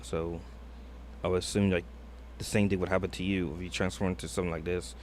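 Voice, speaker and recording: A man's voice: sits low, flat delivery, fast, a little mellow, slight background noise. A man streaming a reaction video, thinking aloud in a hesitant, rambling way full of fillers. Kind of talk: casual reaction commentary.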